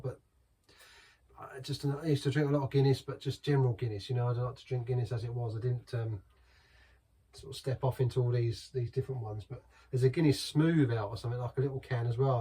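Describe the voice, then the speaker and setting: Husky, mellow-voiced man rambling. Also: mid-range voice. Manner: rambling and chatty, with many restarts. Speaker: beer reviewer. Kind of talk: casual rambling review. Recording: voice from some distance from the mic.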